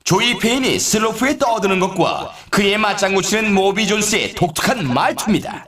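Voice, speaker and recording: An actor playing an excitable, showy snowboard race announcer in a video game, delivering scripted commentary with dramatic rhetorical flair. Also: male voice, sits high, normal speed. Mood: excited, suspenseful. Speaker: sports announcer. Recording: roomy echo, distant from mic.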